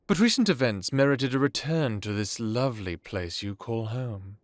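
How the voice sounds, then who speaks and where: A man playing an ancient, courtly vampire lord in an audio drama, calmly authoritative, with formal, genteel phrasing. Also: mid-range voice, normal speed, no room sound, natural voice. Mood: gracious.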